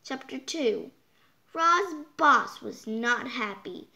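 A child reading a picture book aloud, halting and stumbling over words, with exclaimed side comments. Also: sits high, expressive, bright, forceful tone. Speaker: storytime reader. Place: indoors, hands holding book before the camera.

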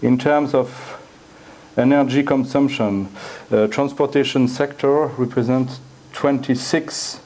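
Halting, slow French-accented male lecturer. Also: mid-range voice, fairly even intonation, a little mellow. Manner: halting, repeats words while searching for phrasing. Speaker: guest lecturer. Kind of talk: academic lecture. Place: university lecture room, indoors.

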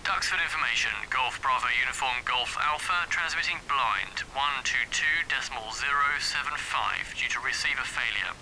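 A man playing a calm, businesslike pilot making clipped, formulaic calls over an aircraft radio. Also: medium pitch, normal speed, few pauses, poor audio. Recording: hissy, harsh aircraft radio transmission.

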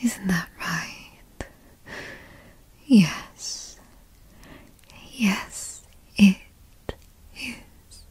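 A woman playing a doting, possessive yandere girlfriend who teases and coos in a soft, breathy ASMR roleplay whisper, close to the mic. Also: mid-range voice, animated, no echo, heavily acted. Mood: teasing. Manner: slow, breathy, cooing, teasing. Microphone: close microphone, dry.

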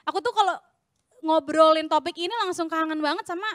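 High bright young woman hosting. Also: a little fast, dry recording, clean studio sound. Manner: playful, chatty, mixes in English phrases. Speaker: host. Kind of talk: bubbly live talk show hosting. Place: studio stage.

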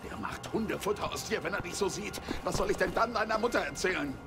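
An actor playing a stern, nagging German guard in a video game, with a German accent. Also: male voice, high voice, expressive, quick pace, music in the background. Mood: exasperated scolding. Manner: nagging, exasperated. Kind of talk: video game cutscene acting.

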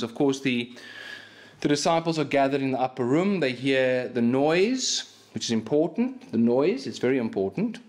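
Man preaching with lively gestures. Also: mid-range voice. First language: Afrikaans. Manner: animated preaching with hand gestures, frequent restarts. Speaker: pastor. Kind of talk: sermon. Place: small church hall with plaque on wall. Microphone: stand mic in front of him.

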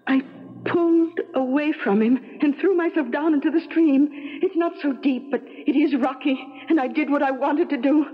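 A woman's voice: high-pitched, normal speed, soft background music, close to an everyday voice. A woman playing a doomed, mournful ghost bride from the eighteenth century in a radio drama, with formal, old-fashioned phrasing. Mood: mournful, resolute. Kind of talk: 1970s radio drama acting. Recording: dull 1970s radio broadcast.

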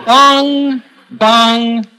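An actor playing an affected, foppish amateur poet, reciting pompously in 1930s radio comedy. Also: male voice, very high-pitched, level tone, extremely slow, heavily acted. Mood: grandly reciting. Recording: very noisy 1930s radio recording.

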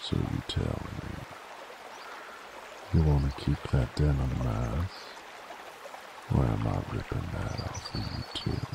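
Very deep, gravelly werewolf hunter. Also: male voice, expressive, over loud music, heavily acted. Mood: playful threat. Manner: slow, drawling, seductive, menacing. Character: dominant teasing male werewolf bounty hunter. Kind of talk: intimate roleplay ASMR, slow and breathy.

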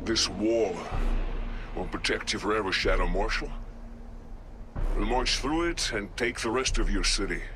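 A man's voice: fairly low pitch, a little slow, long pauses, over music, lightly acted. Man playing a bitter, menacing enemy officer. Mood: bitter menace. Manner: declamatory, contemptuous. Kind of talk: dramatic video game acting.

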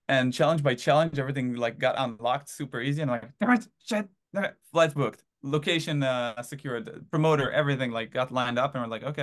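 A man in his thirties from Israel, telling his story in a casual interview over a video call from his home, rambling with lots of fillers. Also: medium pitch. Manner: rambling, animated anecdotes with many fillers. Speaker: band drummer, guest. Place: home room.

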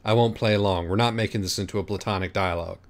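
A man's voice: on the low side, fairly even intonation, fast, voice pushed down, natural voice. A man voicing a defiant, irritable, foul-mouthed pony in an audiobook, blunt and scornful. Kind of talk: audiobook character voice.

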